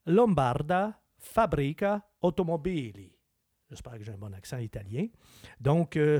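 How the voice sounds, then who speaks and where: Chatty Québécois car expert, dry sound. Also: male voice, mid-range voice, no echo. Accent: Québécois French. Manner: chatty, opinionated, animated. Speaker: car expert on a radio show. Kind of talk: casual chat.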